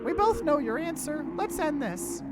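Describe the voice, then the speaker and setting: A man's voice: very high-pitched, sing-song, normal speed, heavy background noise, pitched above the performer's own voice. A man voicing a small, morbid talking food creature in a visual novel, mock-cute and menacing. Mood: gleefully menacing.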